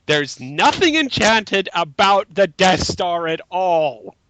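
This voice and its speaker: A man's voice: high voice, big pitch swings, slow, pushed and bright, slight background noise, poor audio. A man giving casual critical commentary, sarcastic and incredulous. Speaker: panelist in a group mocking a written bio.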